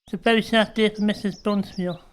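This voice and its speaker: A woman's voice: mid-range voice, fairly even intonation, fast, a little hiss or hum, close to an everyday voice. A woman playing a friendly but disillusioned young male war veteran in an audio play.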